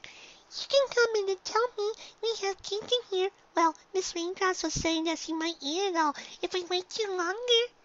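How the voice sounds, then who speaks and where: A man voicing a sweet, eager young girl pony in a thin falsetto for a fan fiction story reading. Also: moderate pace, a bit bright, lo-fi sound, a little hiss or hum.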